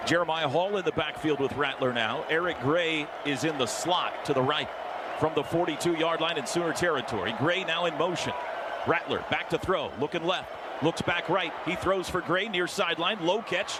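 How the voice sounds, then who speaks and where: A man's voice: fairly high pitch, moderate pace. An American man calling a football game live on the radio as play-by-play announcer from a stadium booth. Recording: loud stadium crowd and booth noise.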